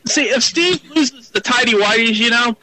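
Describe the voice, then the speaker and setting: A man's voice: extremely high pitch, moderate pace, pushed and bright. A man in a casual internet radio chat, trading teasing jokes and mock protests. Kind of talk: casual joking banter.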